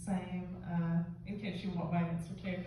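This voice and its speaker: A Southern woman moderates an audience Q&A in a chatty, joking way. Her voice sits low and mellow and comes from far off, with a heavy hall echo around it. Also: level tone, moderate pace, slight background noise. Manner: chatty, friendly, jokey. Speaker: interviewer and moderator. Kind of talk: casual Q&A moderation. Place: large theater hall. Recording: echoey hall, distant mic.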